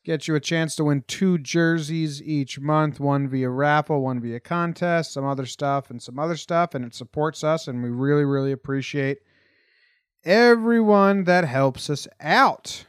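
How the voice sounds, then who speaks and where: A man's voice: fairly high pitch, measured pace, clean tone, no room sound, clean studio sound. An American man talking casually and bluntly as the host of a sports podcast, with emphatic delivery.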